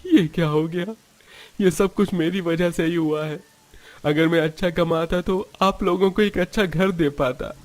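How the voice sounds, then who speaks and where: A man's voice: high voice, steady tone, a little slow. A man voicing a poor, guilt-ridden labourer father, earnest and sorrowful, in a Hindi cartoon story. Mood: guilty.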